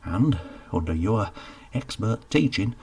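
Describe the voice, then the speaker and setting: A man's voice: low-pitched, lively intonation, a little slow, vocal fry, slight background noise, full character voice. A man voicing a scruffy, sheepish jobless man of about fifty, who mumbles and stammers. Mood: eager. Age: 50s.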